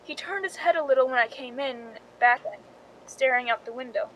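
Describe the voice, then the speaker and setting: A woman acts a scheming young stand-in from a suspense radio play. The voice is high and the delivery dry and knowing, like a confession in a noir tale. A light rumbling noise sits under it. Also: brisk, a bit bright. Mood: wry, reflective. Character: cool, calculating young Hollywood stand-in narrating her own story. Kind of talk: 1940s-style suspense radio drama acting. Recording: noisy recording with low rumble.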